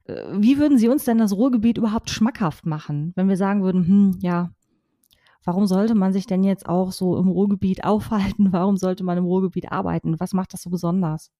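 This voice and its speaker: A woman's voice: medium pitch, fairly quick, dark tone, no room sound, clean studio sound. A woman from Hannover co-hosting a podcast, asking curious conversational questions. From Hannover, Germany. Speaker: podcast co-host. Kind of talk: interviewing.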